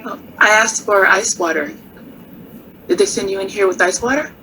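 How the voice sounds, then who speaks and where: A woman's voice: medium pitch, moderate pace, bright, forceful tone, a little hiss or hum, rough recording. An actress playing a breezy 1950s female Vegas performer. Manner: sweet, breezy, says honey.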